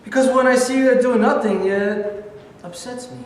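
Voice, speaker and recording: A man reading as a pushy middle-aged uncle, coaxing and full of small hesitations, in a high voice. The sound is roomy, with a long echo from a bare rehearsal studio heard through a far camera. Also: normal speed, a little hiss or hum. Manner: persuasive, hesitant with fillers. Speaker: actor in a staged reading. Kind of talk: staged play reading.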